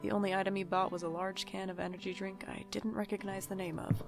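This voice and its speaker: A woman's voice: mid-range voice, level tone, quick pace, creaky, over music, close to an everyday voice. A woman playing a sardonic young night-shift worker in an audio drama, with a dry, casual delivery. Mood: casual, wry. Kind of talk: audio drama acting and first-person narration.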